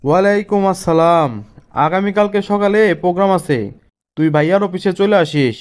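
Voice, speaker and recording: A scheming local party organizer from a cartoon, voiced by a man. He has a fairly high, clear voice and speaks colloquial Bangladeshi in a pushy way. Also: fairly quick, lightly acted. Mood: bossy. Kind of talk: cartoon dialogue.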